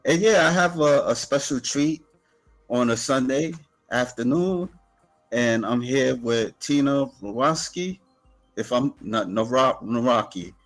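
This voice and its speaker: Slow, halting man hosting. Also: mid-range voice, faint music behind. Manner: halting and hesitant, with many fillers and restarts. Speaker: podcast host. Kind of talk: casual interview hosting.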